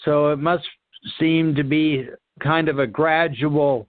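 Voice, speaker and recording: A man offering an unscripted spiritual reflection, haltingly searching for words with long pauses. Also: fairly high pitch, fairly even intonation, no echo, phone-quality sound. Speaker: discussion participant.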